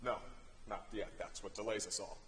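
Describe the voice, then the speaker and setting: A man's voice: lowish voice, steady tone, moderate pace, fairly bright tone, natural voice. A man playing a domineering, short-tempered warrior king and husband in a stern, declamatory stage style. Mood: guarded. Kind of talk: theatrical stage acting. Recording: echoey theatre hall with faint noise.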